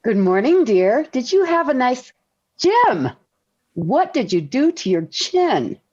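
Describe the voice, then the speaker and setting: A woman's voice: high-pitched, very animated, slow pace, a little mellow, slightly rough, exaggerated voice. A woman playing a patient, soothing housewife and mother in a 1940s radio sitcom. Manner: placating, motherly. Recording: dull 1940s radio recording.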